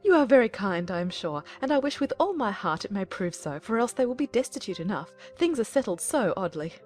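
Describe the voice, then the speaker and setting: A woman plays a middle-aged mother from a classic novel, effusive and full of complaint. Her mid-pitched voice tumbles along in hurried bursts over faint music. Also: sing-song, darkish tone, lightly acted. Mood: fretful, aggrieved. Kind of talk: dramatized classic novel reading.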